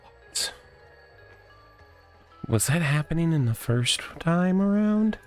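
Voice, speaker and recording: A man's voice: fairly high pitch, expressive, slow. A man giving casual, off-the-cuff commentary as he plays through a game. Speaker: gaming playthrough host. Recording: music behind the voice.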